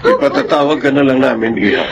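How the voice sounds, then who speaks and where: A man playing a stern but fair middle-aged father in a Filipino radio drama, measured, with a Filipino accent. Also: medium pitch, animated, background noise, natural voice.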